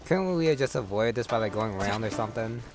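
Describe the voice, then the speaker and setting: A man's voice: lowish voice, expressive, quick pace. A man giving casual commentary while playing a video game. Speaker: gamer, let's play commentator. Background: game music.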